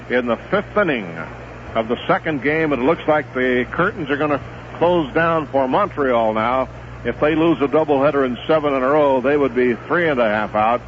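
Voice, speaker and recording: A middle-aged man giving live play-by-play commentary on a baseball game for radio, steady and relaxed, rising in excitement on big plays. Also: medium pitch. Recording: muffled vintage 1970s radio broadcast with hiss.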